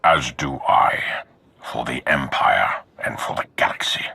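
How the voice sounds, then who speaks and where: An actor playing an imposing, grave Sith lord in a video game, with a solemn, commanding delivery and a gravelly, husky voice. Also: male voice, very deep voice, lively intonation, unhurried, pushed and bright, no room sound, full character voice. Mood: grave, ominous. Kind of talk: dramatic video game acting.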